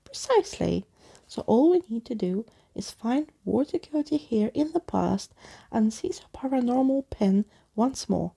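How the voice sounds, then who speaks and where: A woman plays an old living skeleton gentleman from a children's storybook. He is pompous, wordy and unflappable, with a very creaky, slightly husky voice and florid, muddled phrasing. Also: a little high, big pitch swings, measured pace, heavily acted. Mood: unruffled. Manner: florid, grandiose wording with muddled words.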